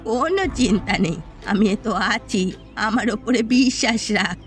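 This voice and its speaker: A woman plays a vengeful old village mother from a cartoon, pitched very high. She sounds sure of herself and conspiratorial, with music clearly playing behind her. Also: moderate pace, natural voice. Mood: scheming, confident. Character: scheming, vengeful elderly mother running a village eatery. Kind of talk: cartoon story voice acting.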